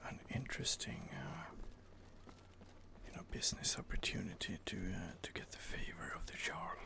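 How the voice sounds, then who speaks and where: A man's voice: low-pitched, moderate pace, vocal fry. Whispering man, hesitant ASMR commentary. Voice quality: soft whisper. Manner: hesitant, many fillers and restarts. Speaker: gameplay host.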